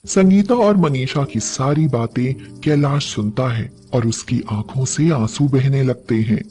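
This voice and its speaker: A male narrator reads a scripted story at a very unhurried pace. His pitch sits in the middle range for a man. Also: warm tone.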